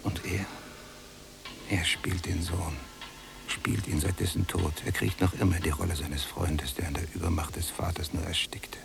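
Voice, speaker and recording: An actor playing an embittered, suspicious younger prisoner in a literary radio play, brooding and sardonic in manner. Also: male voice, low-pitched, level tone, moderate pace, creaky. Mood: muttering, scornful. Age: young adult.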